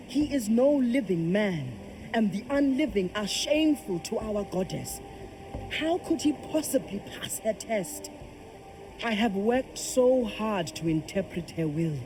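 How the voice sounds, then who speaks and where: A woman's voice: medium pitch, noisy background. A woman playing a proud, commanding and devout kraken priestess in a video game, solemn and declamatory. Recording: music behind the voice.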